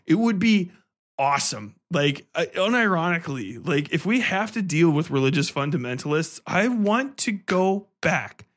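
A man hosting a podcast in a casual, animated, sweary ranting style full of comic exaggeration. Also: a little high, normal speed. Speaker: podcast host. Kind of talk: casual, profane comic rant and game review.

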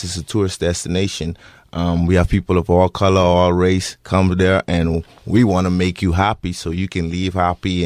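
A man in his thirties with a Bahamian accent, answering interview questions earnestly with many pauses and restarts. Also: low voice, no room sound, studio quality. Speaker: interviewee, parent.

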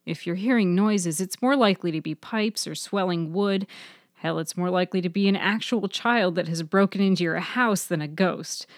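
Woman acting a brisk, skeptical historian, dryly recorded. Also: mid-range voice, no echo, clean studio sound, lightly acted. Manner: skeptical, matter-of-fact, conversational. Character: weary, brisk female town historian on phone. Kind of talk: acted dialogue in fiction podcast.

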